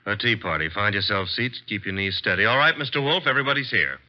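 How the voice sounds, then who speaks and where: A male actor plays a brisk, wisecracking private-eye assistant in an old radio mystery. His voice is on the low side and he reports facts in a wry, no-nonsense way. The sound is dull with age. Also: animated, noisy background, close to an everyday voice. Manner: brisk, wry, matter-of-fact. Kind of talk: 1940s-50s radio mystery acting. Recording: dull vintage radio recording.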